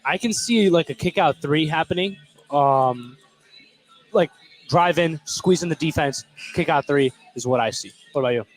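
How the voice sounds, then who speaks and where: An American man with a slightly high voice provides color commentary on basketball. His delivery is conversational and slangy, like casual analysis between plays. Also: moderate pace, low-quality recording. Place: indoor high school gym.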